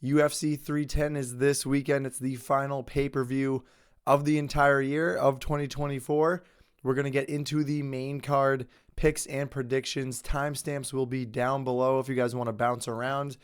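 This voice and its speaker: A man's voice: mid-range voice, little pitch movement, moderate pace, smooth. Man with a cold giving fight picks. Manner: conversational, confident opinions with fillers. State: has a cold, losing his voice. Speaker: host. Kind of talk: casual sports picks commentary.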